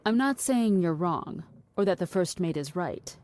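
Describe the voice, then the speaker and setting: Actress playing a needling, philosophical woman for audio drama, soft-toned, pointed and condescending. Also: lowish voice, expressive, a little slow, a little hiss or hum. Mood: skeptical. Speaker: actor.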